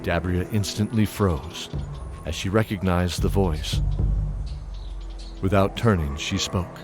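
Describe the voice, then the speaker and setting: A man narrating a scripted fantasy audio drama in a measured, dramatic storytelling style. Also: low voice, over music.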